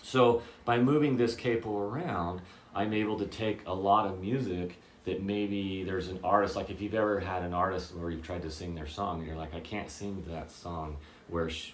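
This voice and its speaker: Low-voiced guy teaching guitar, keeps asking "okay?", roomy echo. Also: a little hiss or hum. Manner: relaxed, explains with frequent "okay?" and "does that make sense?". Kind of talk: casual guitar lesson.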